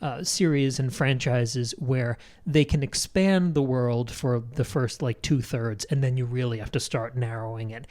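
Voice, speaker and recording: A man's voice: medium pitch, unhurried, hardly any pauses, darkish tone. A man co-hosting a book podcast, giving conversational literary analysis and thinking aloud as he goes. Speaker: book club podcast co-host.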